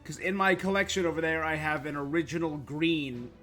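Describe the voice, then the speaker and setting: Guy livestreaming games, kinda high voice, casual rambly chat with opinions, soft music in back. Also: a little fast. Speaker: game streamer. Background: game music.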